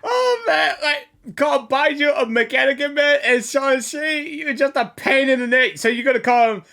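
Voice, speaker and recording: A woman's voice: high voice, animated, normal speed, vocal fry, a bit bright. A woman giving casual, informal reaction commentary as she plays through a game, exclamatory and giggly. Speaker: gaming reaction streamer.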